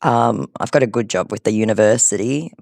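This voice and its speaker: Creaky-voiced man, chatting casually. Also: fairly low pitch, a little fast, a little mellow, dry recording, studio quality. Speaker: scambaiter on a call with a scammer. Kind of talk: casual phone chat.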